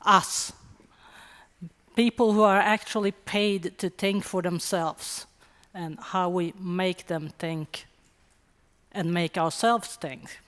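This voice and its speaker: A middle-aged woman from Sweden with a Swedish accent, giving a wry, conversational conference talk with quick asides. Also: fairly low pitch, steady tone, a bit bright. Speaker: conference speaker. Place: conference hall. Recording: long hall reverb.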